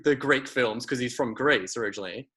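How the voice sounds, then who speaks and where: A man's voice: medium pitch, a little fast, pushed and bright. A man, hosting a casual conversation and rambling with many fillers and restarts.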